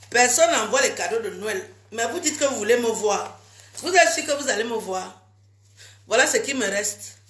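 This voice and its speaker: A woman's voice: on the high side, fairly bright tone, echoey room. A woman vlogging casually to her fans, chatty and teasing, scolding her viewers with a cold. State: has a cold.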